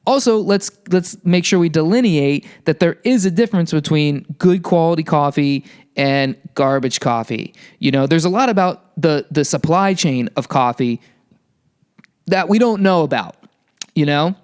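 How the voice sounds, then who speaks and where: A young man with a somewhat high, smooth and resonant voice, rambling casually about health. He is sarcastic and swears freely, and stumbles through fillers and restarts. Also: lively intonation, normal speed, a little mellow. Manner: puts on silly high voices for quoted people. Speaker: podcast host.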